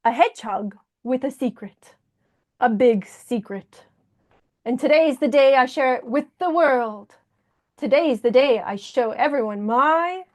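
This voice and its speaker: A woman's voice: high-pitched, animated, a little slow, darkish tone. A woman reading a picture book aloud as a dramatic male hedgehog with a secret, in a French accent. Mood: proud, confiding.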